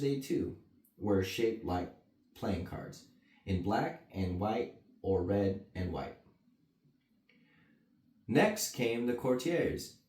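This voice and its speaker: A man with a lowish voice tells a children's bedtime story, dropping in casual asides. His delivery swings widely in pitch. The room echoes around him. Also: darkish tone. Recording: roomy echo, mic at a distance.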